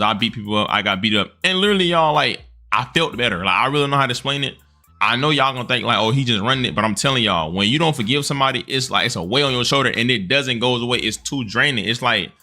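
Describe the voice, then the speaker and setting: Male commentator, quick and bright-voiced, casual and slangy, earnest about his opinions. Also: medium pitch.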